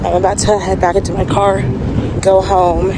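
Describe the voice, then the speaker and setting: Chatty woman vlogging over loud street noise. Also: mid-range voice, flat delivery, moderate pace. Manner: chatty, self-encouraging. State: congested from a cold. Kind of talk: casual vlog talk to camera. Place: outdoors and in a car. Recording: distant phone mic with loud street noise.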